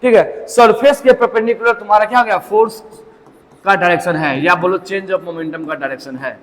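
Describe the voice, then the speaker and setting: A man's voice: high-pitched, normal speed. A male physics teacher giving a classroom lecture in Hindi mixed with English, often putting questions to the class. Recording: long echo in a large hall.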